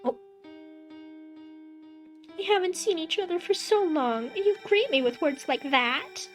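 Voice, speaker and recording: A woman's voice: very high voice, animated, a little slow, faint music behind, voice pushed up. A woman voicing a doting middle-aged mother returned from the dead, sweet and chirpy in a motherly way. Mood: sweetly cheerful.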